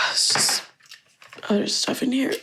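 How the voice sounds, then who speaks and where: An actress playing a young grieving daughter in a naturalistic audio drama, talking in a halting, conversational, wistful way. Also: female voice, pushed and bright, a little hiss or hum. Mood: wistful, sad. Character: grieving daughter reminiscing fondly about her late father.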